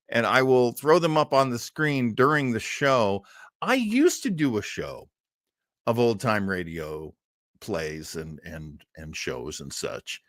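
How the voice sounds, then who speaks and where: Slow, rambling man hosting a livestream. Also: mid-range voice, fairly bright tone, no echo, studio quality. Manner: self-deprecating, scattered, hesitant. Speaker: livestream host. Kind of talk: casual rambling live chat.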